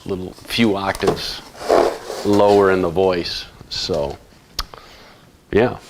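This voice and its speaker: Hoarse, deep-voiced male co-host. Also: fairly even intonation, slow pace, very noisy. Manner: laid-back, brief interjections. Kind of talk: casual live stream chat.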